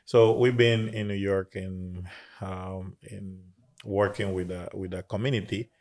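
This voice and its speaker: A pastor from Honduras, answering interview questions in a halting way with many pauses. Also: male voice, sits low, fairly even intonation, a little mellow. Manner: halting, many fillers and restarts.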